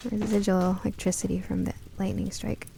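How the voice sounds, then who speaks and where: A woman playing a curious, earnest young woman who narrates her own spooky radio drama in a measured, storytelling way. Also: medium pitch, flat delivery, vocal fry, mellow, slight background noise. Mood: measured, somber. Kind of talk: first-person scripted radio drama narration.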